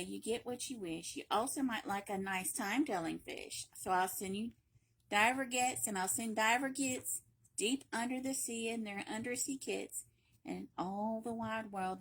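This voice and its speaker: Expressive higher-voiced woman reading aloud. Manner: playful, expressive, emphatic with repetition and dramatic hushes. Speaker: library read-aloud reader. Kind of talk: animated children's picture book reading with playful asides.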